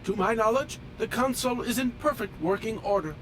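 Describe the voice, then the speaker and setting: A man's voice: sits high, a little fast, a bit bright, slight background noise. A male actor voicing a fussy, polite automaton train engineer in a video game, with formal, precise, pedantic diction. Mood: prim, pedantic. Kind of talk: video game character dialogue.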